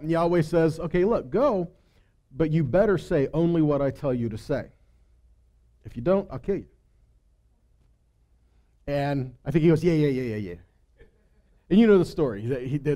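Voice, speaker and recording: A man with a slightly high, mellow voice teaches scripture in an informal sermon style. He tells the stories like a storyteller, paraphrasing the dialogue in everyday language. He often punctuates his points with short rhetorical check-ins. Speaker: Bible teacher leading a weekly Torah study.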